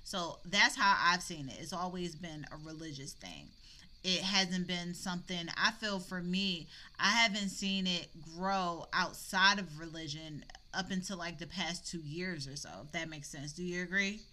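A woman's voice: on the low side, normal speed, sharp tone. A woman hosting a casual podcast conversation in an informal, conversational way. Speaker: podcast host leading the discussion. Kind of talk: casual chat.

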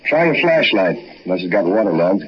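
A man's voice: deep voice, very animated, fairly quick, loud music behind the voice. An actor playing a menacing, mocking, vengeful man in a 1940s radio horror drama, speaking with taunting deliberation. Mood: urgent, brisk. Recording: muffled 1940s radio recording.

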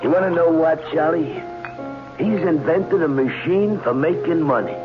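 An actor playing a dim-witted, trusting sidekick who stammers eagerly in a radio drama. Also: male voice, on the high side, moderate pace, lightly acted. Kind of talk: 1940s radio acting. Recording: tinny old radio recording with music.

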